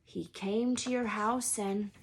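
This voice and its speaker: A woman voicing a huge, gruff but good-hearted wizard man in a storybook, emotional and anxious, with folksy, halting speech and dropped word endings. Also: medium pitch, fairly even intonation, a little hiss or hum. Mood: grave, reluctant.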